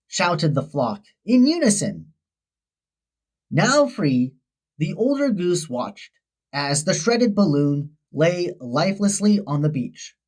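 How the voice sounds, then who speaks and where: Female storybook narrator, expressive, slow-paced and highly animated. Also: poor audio. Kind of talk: scripted children's story read-aloud.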